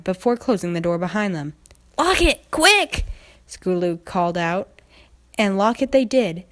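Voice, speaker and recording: A woman reading a story aloud as narrator, in an expressive storybook style. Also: fairly low pitch. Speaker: fan fiction narrator.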